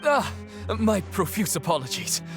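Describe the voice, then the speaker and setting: An actor playing a nervous, fawning, stammering underling in a video game. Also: male voice, sits high, big pitch swings, moderate pace, music in the background. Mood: flustered, fawning. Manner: stammering, flustered, deferential. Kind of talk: video game character acting.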